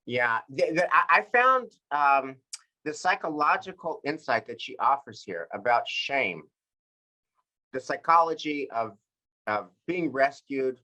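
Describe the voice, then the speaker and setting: A man's voice: medium pitch, animated, measured pace, sharp tone. An American man leading a group book discussion in an earnest, reflective way, often repeating and rephrasing his points.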